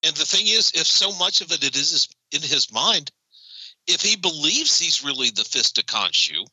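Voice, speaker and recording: A man co-hosting a casual podcast chat about a TV episode, animated and joking. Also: fairly high pitch, normal speed, husky, dry recording, rough recording. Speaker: podcast co-host.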